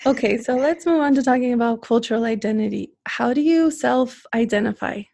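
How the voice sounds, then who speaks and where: A woman hosts a relaxed conversation, her voice sitting a little high. She laughs often and strings her questions together loosely. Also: a little fast, creaky. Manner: friendly, laughs a lot, rambling questions. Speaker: interview host. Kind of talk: casual interview chat.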